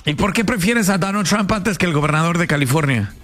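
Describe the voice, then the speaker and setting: A man's voice: a little high, lively intonation, fairly bright tone. A man hosting a casual call-in radio show, interpreting a speech on the fly and prodding callers for their views. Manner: quick, casual slang, prods callers for opinions.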